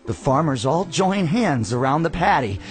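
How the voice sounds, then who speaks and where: A man's voice: a little high, very animated, normal speed. A man playing an earnest documentary narrator, reading scripted educational narration in a mock-serious cartoon. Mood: informative.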